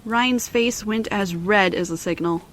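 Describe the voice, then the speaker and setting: A woman's voice: medium pitch, measured pace, fairly bright tone. A woman narrator reading a scripted children's story in storybook style.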